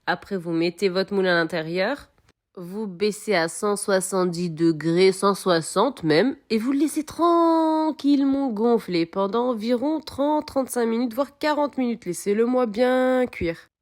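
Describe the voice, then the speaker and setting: A woman's voice: medium pitch, a little slow. Chatty, emphatic woman cooking on camera. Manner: expressive, repeats words for emphasis, coaxing viewers. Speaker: home cooking vlogger.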